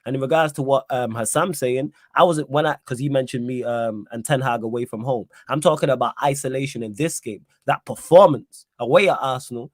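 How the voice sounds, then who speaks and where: Measured man in a dry room. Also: medium pitch, dry recording. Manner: measured, reasoning point by point. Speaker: football podcast co-host. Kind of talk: casual football debate.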